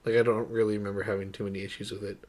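A man's voice: on the low side, little pitch movement, rapid-fire, a little mellow. A man co-hosting a podcast, chatting casually and informally about movies. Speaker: podcast co-host. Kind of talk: casual movie review chat.

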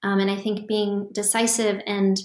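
Woman founder on a podcast, calm steady voice, kinda flat, echoey room. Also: medium pitch, normal speed. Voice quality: calm, even-keeled voice. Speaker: startup founder guest. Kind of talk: reflective podcast interview answers.

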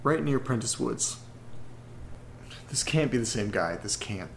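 A man playing an uneasy horror podcast host who reads letters aloud, earnest and deliberate, with pauses between phrases. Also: medium pitch, lively intonation, vocal fry, slight background noise. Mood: shaken, realizing. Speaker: audio drama podcast host character reading listener letters. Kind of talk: dramatic narration in a horror audio drama.

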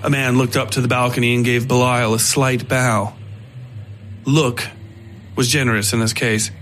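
A man reading scripted dark fiction as narrator, in a measured, literary storytelling manner. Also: mid-range voice, level tone, slight background noise.

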